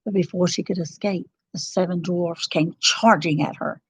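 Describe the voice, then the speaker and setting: A woman narrating a children's storybook in an expressive, dramatic and deliberate style. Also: on the low side, husky, rough recording.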